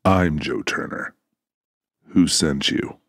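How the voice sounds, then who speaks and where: A man's voice: takes it slowly, dry recording, studio quality, exaggerated voice. An actor playing a guarded, suspicious man who is terse and unwelcoming with police. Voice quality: very deep, husky.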